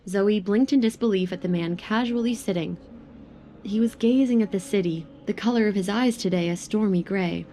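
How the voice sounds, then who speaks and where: A woman's voice: medium pitch, moderate pace, warm tone, slight background noise. A woman narrating a scripted romance drama as its storyteller.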